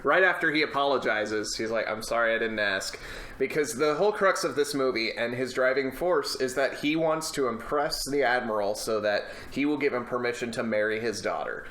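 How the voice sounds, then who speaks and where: Man, forceful bright voice, casual emphatic film banter, a bit profane, recorded in a reflective room. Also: mid-range voice, brisk. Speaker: podcast co-host discussing films. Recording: roomy, echoey room.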